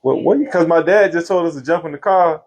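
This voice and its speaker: Man, 50s, relaxed, chatty, easy laughs. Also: fairly high pitch, a little fast, slightly rough. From Florida. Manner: relaxed, laughing, Southern slang. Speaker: interview guest, singer. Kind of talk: casual storytelling interview.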